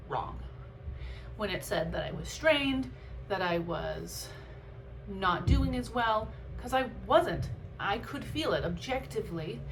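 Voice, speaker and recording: A woman's voice: mid-range voice, background noise. A woman vlogger chatting casually and candidly about her own experience, reflective and conversational with emphatic bursts. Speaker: vlogger and amateur runner. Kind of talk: casual, candid vlog chat.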